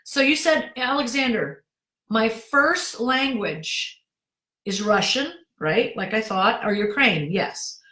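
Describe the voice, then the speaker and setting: A middle-aged woman acting coach answering viewer questions in a direct, brisk way. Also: on the high side, animated, bright, forceful tone, roomy sound.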